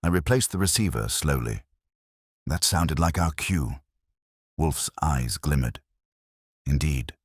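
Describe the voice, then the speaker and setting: Very deep-voiced man narrating detective fiction. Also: expressive, takes it slowly, pauses often, breathy edge, dry recording, clean studio sound. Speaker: audiobook narrator. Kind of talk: scripted first-person detective story narration.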